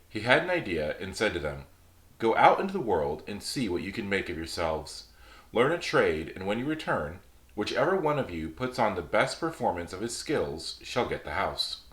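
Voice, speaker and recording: A man hosting a storytelling show, reading a folk tale aloud in a relaxed, chatty way. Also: on the low side, echoey room. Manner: relaxed, chatty, reads aloud with small slips and laughs. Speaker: storyteller host.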